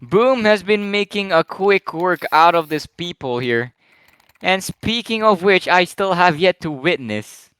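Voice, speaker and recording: A man with a slightly croaky voice, calling live game action in a rambling, excitable play-by-play. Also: high-pitched, measured pace, dry recording. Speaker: esports caster.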